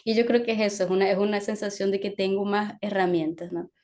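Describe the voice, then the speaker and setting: A woman from Venezuela speaks as a guest novelist in a conversational panel. Her voice is mellow and mid-pitched, and her thoughtful delivery keeps circling back with restarts and small tag questions. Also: fairly even intonation, fast. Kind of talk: conversational discussion.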